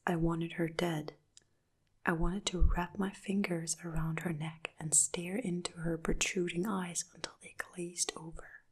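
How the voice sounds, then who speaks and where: A woman narrating a dark first-person story in a soft, hushed whisper throughout. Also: on the low side, flat delivery, measured pace, slightly rough.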